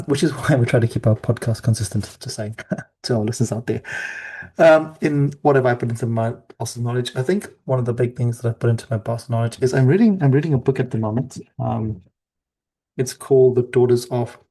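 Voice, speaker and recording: A husky, mellow New man chatting away as host of a community podcast, looping back over his phrases for emphasis. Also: mid-range voice, a little fast. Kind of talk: casual podcast conversation.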